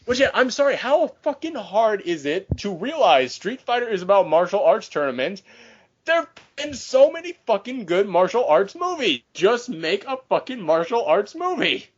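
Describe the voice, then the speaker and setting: A man's voice: sits high, very animated, moderate pace, lo-fi sound. A man co-hosting a casual group podcast, talking in an emphatic, opinionated way with heavy swearing. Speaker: podcast co-host.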